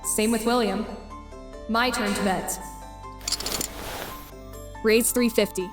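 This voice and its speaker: A woman's voice: fairly high pitch, fairly even intonation. A woman playing a competitive, anxious teenage girl who muses over a card game in a comic dub. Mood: calculating, tense. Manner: deliberate, musing to self. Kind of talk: webcomic fan dub acting with inner monologue. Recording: roomy voice with music behind.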